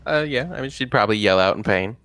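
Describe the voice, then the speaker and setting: A man's voice: lowish voice, animated, fast, a bit bright. A man chatting casually as a player in a tabletop roleplaying game.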